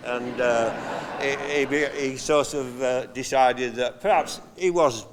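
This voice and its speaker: An older man giving a halting, conversational memorial eulogy, telling anecdotes from notes with frequent pauses. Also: mid-range voice, background noise. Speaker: eulogy speaker, friend of the deceased. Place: large hall at a memorial service. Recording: long hall reverb.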